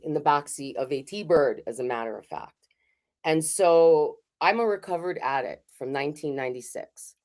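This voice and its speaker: A woman's voice: a bass voice, darkish tone. A middle-aged woman from Hawaii giving a candid, conversational keynote talk over a video call from her home studio. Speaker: keynote speaker. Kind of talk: raw personal keynote talk.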